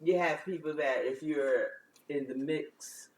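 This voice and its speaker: A man's voice: a little high, fairly even intonation, unhurried, a little mellow, echoey room, distant mic, a little hiss or hum, poor audio. A man speaking bluntly and emphatically in a casual podcast chat.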